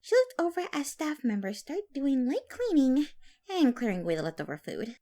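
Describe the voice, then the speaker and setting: A woman's voice: sits high, sing-song, moderate pace, warm tone. A woman narrating fan fiction expressively in the first person, as a storyteller.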